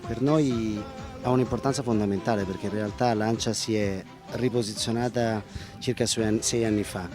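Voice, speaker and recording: A man giving a measured, explanatory interview answer as a company representative. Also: on the low side, fairly even intonation. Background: music.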